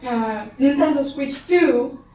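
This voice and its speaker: Young Russian-native woman guest, slow and hesitant, a little high, miked from a distance. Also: dark tone. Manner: hesitant, many fillers, wry. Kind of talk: casual chat. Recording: echoey room, far from the mic.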